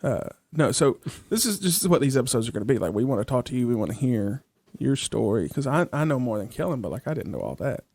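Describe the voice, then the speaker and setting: A man co-hosting a casual podcast, throwing in quick jokes and questions. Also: mid-range voice, very animated, darkish tone, slightly rough, dry recording. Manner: quick, joking interjections. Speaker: co-host.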